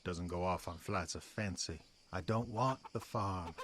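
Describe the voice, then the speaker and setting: A man's voice: low-pitched, unhurried, a bit bright. An actor playing a domineering old farmer father in a period audio drama, curt and scolding, with old-fashioned rural speech. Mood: stern, scornful. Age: older.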